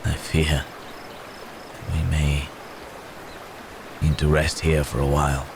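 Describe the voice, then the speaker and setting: Man playing a courteous wounded werewolf, extremely deep voice, unhurried, halting, pained, intimate ASMR roleplay. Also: flat delivery, noisy background, heavily acted. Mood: weary, grave. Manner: halting, polite, old-fashioned phrasing with groans. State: strained with pain. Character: wounded, weary, courteous male werewolf hunted by men.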